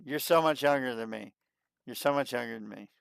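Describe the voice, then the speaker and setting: A man in his late thirties hosting a livestream, chatting casually and excitedly, and often repeating himself. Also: mid-range voice, fairly quick, gravelly, smooth, no room sound, clean studio sound. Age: 30s. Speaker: livestream host. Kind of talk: casual chat.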